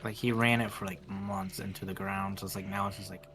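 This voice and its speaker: Male streamer co-host, lower voice, chatty and meandering. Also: little pitch movement, fairly quick, fairly bright tone, faint music behind. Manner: rambling, heavy use of "like". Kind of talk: casual gaming stream chat.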